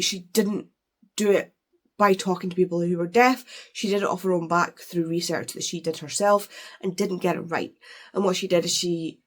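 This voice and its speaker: A woman with a hoarse, congested voice from a cold, chatting casually as a book vlogger unboxing a delivery. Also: fairly low pitch. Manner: chatty, rambling with fillers and restarts. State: congested, losing her voice from a cold.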